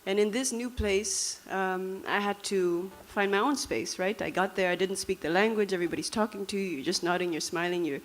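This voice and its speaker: A woman's voice: medium pitch, fairly quick, hardly any pauses, low-quality recording. A young woman from Namibia with a Dutch accent, giving a reflective, conversational personal talk with frequent fillers. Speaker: speaker.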